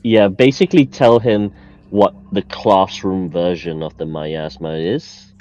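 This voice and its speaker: A man's voice: sits low, steady tone, measured pace, darkish tone, lo-fi sound. A man talking casually as a tabletop game player, hesitant with many fillers and restarts.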